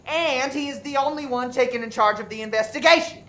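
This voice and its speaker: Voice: high voice, a little fast, sharp tone, echoey room. An actor playing a touchy, defensive, accusing widowed husband in a farcical radio play. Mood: suspicious. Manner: indignant, defensive. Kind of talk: farce radio play acting.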